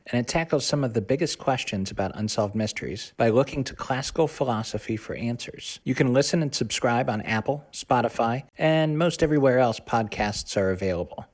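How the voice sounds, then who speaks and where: A man's voice: medium pitch, no room sound, studio quality. A man reading as narrator in a scripted podcast style. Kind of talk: scripted dark story narration and podcast promo read.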